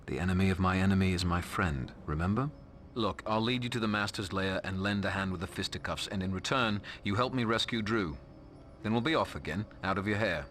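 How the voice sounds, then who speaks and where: A man's voice: sits low, brisk, fairly bright tone, soft background music. An actor playing a sardonic, cocky male vampire with a British accent, speaking in a sneering, slangy, mocking way. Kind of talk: video game voice acting.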